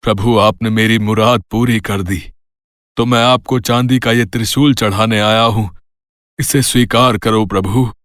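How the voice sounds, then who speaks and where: A man's voice: lowish voice, steady tone, unhurried, fairly bright tone, no echo, clean studio sound. A man playing a kindly, devout old grandfather in a devotional cartoon story, speaking in earnest, reverent prayer. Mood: joyful gratitude.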